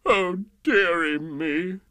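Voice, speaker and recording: A fussy storybook king, acted out by a man, high and animated. He sounds childish and plaintive, exclaiming over small things in a close, dry recording. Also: slow pace, smooth, no room sound. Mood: sulky, whimpering. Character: fussy, childish old-fashioned storybook king, whiny and sulky.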